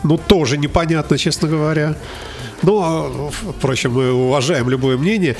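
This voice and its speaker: A man's voice: fairly high pitch, moderate pace. A man hosting a chatty, informal interview, throwing in jokey asides as he asks questions. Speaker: interviewer, host. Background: faint music.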